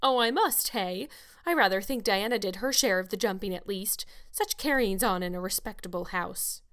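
Storybook role: prim, wealthy old lady, acted by a female narrator, sharp-tongued, severe and haughty. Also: on the high side, sing-song, slow pace, full character voice. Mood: indignant. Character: stern, rich, prim old spinster aunt.

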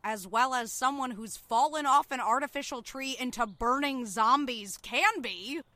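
A woman's voice: high-pitched, measured pace, bright, forceful tone, no room sound. A woman playing a stubborn, grumpy survivor in a zombie audio drama, snappy and sarcastic. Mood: irritated.

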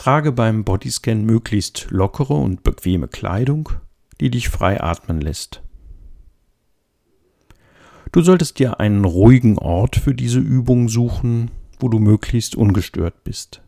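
A man calmly giving an introduction to a body scan meditation, speaking slowly and gently. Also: fairly low pitch, gravelly. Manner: slow, gentle, soothing. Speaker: meditation guide.

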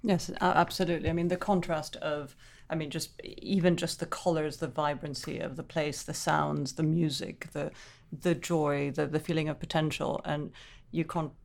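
A woman's voice: low voice, fairly even intonation, moderate pace, gravelly, a little mellow. A middle-aged woman from Venezuela, an author telling personal stories in a thoughtful, earnest, descriptive way as an interview guest. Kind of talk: reflective personal storytelling in interview.

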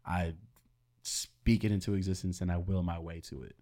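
A man's voice: low voice, little pitch movement, moderate pace, mellow. A man in his late twenties, a podcast guest, talking in a loose, slangy, joking way. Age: late 20s. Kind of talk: casual chat.

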